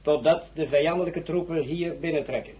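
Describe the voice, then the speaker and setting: An actor playing a sober, loyal French colonel and prisoner of war, formal and deferential, in an audio drama. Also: male voice, fairly high pitch, steady tone, fairly quick, darkish tone, a little hiss or hum, natural voice. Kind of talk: Dutch audio drama acting.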